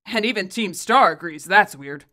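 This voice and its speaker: A wry teenage boy from a game fan story, played by a woman. The voice is forward and bright at a middle pitch, blunt and dryly matter-of-fact. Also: lively intonation, normal speed.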